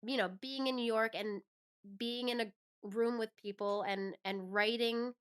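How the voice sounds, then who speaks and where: A woman's voice: on the high side, fairly even intonation, a little slow, a bit bright, smooth. A woman speaking as an interview guest in a casual, agreeable, chatty way. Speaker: interview guest, actress and musician. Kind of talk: casual chat.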